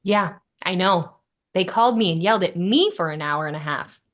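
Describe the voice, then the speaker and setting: A woman's voice: lowish voice, animated, moderate pace, fairly bright tone. A woman playing a wry, exasperated young woman in a sitcom script read.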